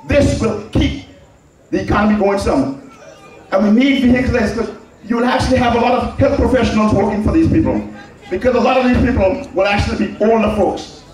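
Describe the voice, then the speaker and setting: A man with an Anguillan Caribbean accent giving a political public address in an emphatic, deliberate manner, repeating phrases for stress. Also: very high-pitched. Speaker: public speaker addressing a community. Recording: very echoey public address, distant mic.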